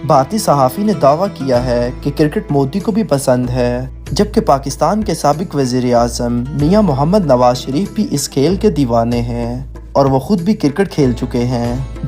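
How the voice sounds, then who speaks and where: A man's voice: medium pitch, mellow. A man with a Pakistani accent reads scripted news narration in a formal, declarative manner. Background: background music.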